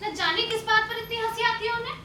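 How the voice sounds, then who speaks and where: Scornful young daughter played by a woman, extremely high bright voice, sarcastic, roomy stage play. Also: fairly even intonation, fast. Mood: irritated, scornful. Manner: complaining, sarcastic. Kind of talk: Hindi stage play acting. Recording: echoey, distant stage recording with noise.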